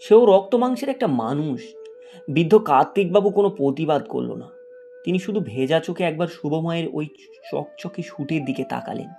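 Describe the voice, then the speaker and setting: A man's voice: on the high side, animated, brisk, vocal fry, warm tone, soft background music. A man narrating a cartoon moral story in a dramatic, scripted storytelling style.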